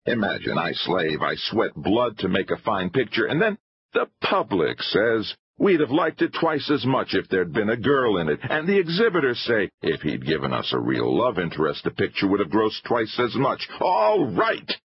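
A man's voice: lowish voice, huge pitch swings, moderate pace, slightly rough, highs cut off, pitched above the performer's own voice, exaggerated voice. A man voicing an impatient, domineering film-making adventurer in his thirties, emphatic and insistent, in audiobook dialogue. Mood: exasperated, insistent. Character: impatient, domineering thirty-five-year-old male adventurer film-maker.

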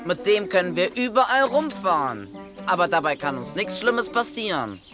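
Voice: mid-range voice, big pitch swings, moderate pace, over music, lightly acted. An actor voicing a cheeky, grumpy, stubborn little raven boy in a children's audio play, with whiny, boastful bluster. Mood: stubborn, eager.

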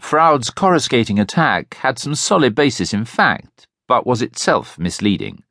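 A man reading a history audiobook as narrator, in a measured, expressive manner with strong emphasis, close to a studio microphone. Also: mid-range voice, vocal fry, no echo. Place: dry recording booth.

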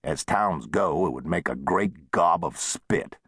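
A man's voice: low voice, unhurried, a bit bright, husky, no room sound, studio quality, exaggerated voice. A man voicing a wry, easygoing old frontier saloon owner with folksy, plain-spoken talk in a western audiobook.

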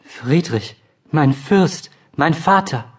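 An actor playing a lovestruck young prince walking in his sleep, with entranced, drawn-out delivery in a classical verse drama. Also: on the high side, lively intonation, husky, exaggerated voice. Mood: entranced yearning. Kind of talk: classical German verse drama audiobook acting.

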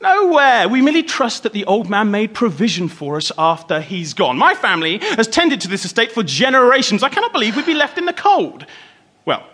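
Sardonic, high-pitched, bickering manservant husband. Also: male voice, sing-song, a little fast, bright, forceful tone, full character voice. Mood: indignant, scornful. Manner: theatrical, sardonic, exasperated. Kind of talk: period radio drama acting.

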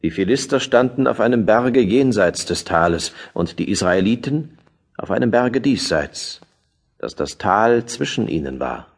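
A man's voice: low-pitched, heavily acted. A man narrating a biblical radio play as a solemn, scripted storyteller.

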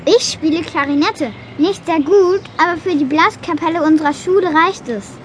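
A woman's voice: extremely high pitch, normal speed, a little hiss or hum. An actress playing a well-behaved, curious young schoolgirl in a children's radio play, speaking in an earnest, friendly way.

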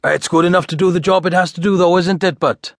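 A man acts out a brash Welsh celebrity double from an audiobook story, pitched up from the narration. He has a broad Welsh accent and a cheeky, matey way of chiming in. The sound is close and dry. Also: fairly even intonation, fast talker, no room sound. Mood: cheeky, chummy. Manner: chummy, slangy interjections. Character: cheeky male Welsh Clooney lookalike, chummy and brash.

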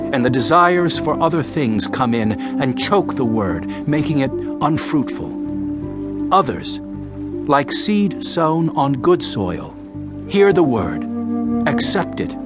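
Jesus, an animated, impassioned male preacher. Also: medium pitch. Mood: earnest, explaining. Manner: declamatory, emphatic, deliberate phrasing. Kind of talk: dramatized audio Bible acting.

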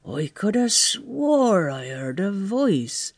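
A man's voice: high-pitched, very animated, takes it slowly, no room sound, studio quality, voice pushed up, exaggerated voice. A man voicing a scruffy, bewildered tramp in an audiobook, muttering and exclaiming in slang. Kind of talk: audiobook character voice.

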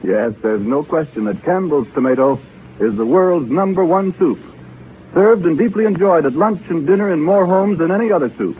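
A polished male announcer from 1930s radio, selling with enthusiasm. His voice is fairly high and very lively in pitch, on a tinny broadcast. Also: normal speed, slight background noise.

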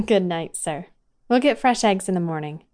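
A woman's voice: medium pitch, animated, measured pace, lightly acted. A woman playing a kindly, polite store worker in an audio drama. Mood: obliging, amused. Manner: polite, gentle teasing.

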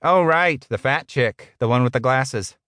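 A man's voice: mid-range voice, a little slow, a bit bright, no room sound, studio quality, pitched above the performer's own voice, lightly acted. A man voicing a short, sleazy middle-aged office boss in an audiobook, smug, patronizing and blustering.

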